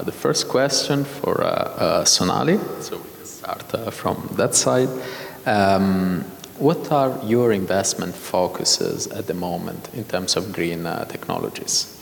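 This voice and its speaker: Deep-voiced guy running a panel, polite, lots of uhs, hall reverb. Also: creaky. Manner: halting, polite. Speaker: panel moderator. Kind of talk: moderating a panel. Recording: hall with faint noise.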